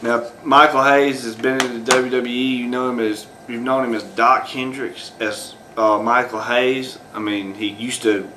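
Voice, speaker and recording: A man's voice: mid-range voice, steady tone, a little slow, few pauses, background noise. A man talking off the cuff as host of his own video, rambling with many fillers and restarts. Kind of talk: unscripted solo commentary.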